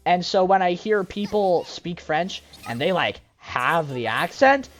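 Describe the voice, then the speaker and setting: A woman's voice: fairly low pitch, expressive, a little slow, faint music behind. A young woman chatting casually over gameplay, rambling and self-deprecating, with lots of fillers and restarts. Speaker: gaming streamer.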